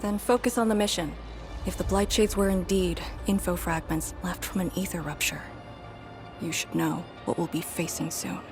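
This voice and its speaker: An actress playing a stern, reserved young field operative in a video game, clipped and commanding. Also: female voice, on the low side, fairly even intonation, normal speed, natural voice. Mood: stern, focused. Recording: loud game music behind. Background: loud music and game sound.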